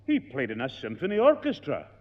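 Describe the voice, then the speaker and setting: An actor playing a kindly older grandfather, gently scolding the boy. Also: mid-range voice, huge pitch swings, brisk. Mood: gentle. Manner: gently scolding, sing-song. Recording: tinny, echoey old radio broadcast.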